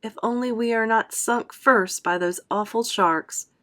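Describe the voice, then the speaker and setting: A woman playing a calm, determined young boy in a storybook, explaining firmly. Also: on the high side, measured pace, voice pushed up. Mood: persuasive. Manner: firm, explanatory.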